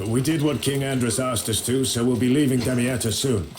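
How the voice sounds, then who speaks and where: An actor playing a solemn, commanding knightly lord in a medieval video game. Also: male voice, medium pitch, fairly even intonation, a little fast, background noise, natural voice. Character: grave, resolute knightly lord leading a quest. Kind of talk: dramatic video game cutscene acting.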